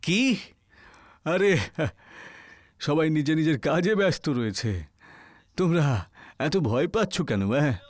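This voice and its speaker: A cocky young male vlogger in an audio horror tale, played by a man. He is brash and impatient, with a fairly high voice recorded close and dry. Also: animated, slow pace, long pauses, no echo. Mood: breezy, bold. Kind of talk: audio horror story acting.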